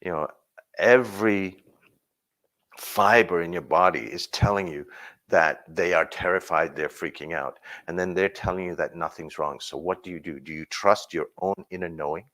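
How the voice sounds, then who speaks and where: A man from Burma telling his personal story in a reflective way, pausing and restarting as he talks. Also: low-pitched, fairly bright tone. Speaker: speaker sharing his story.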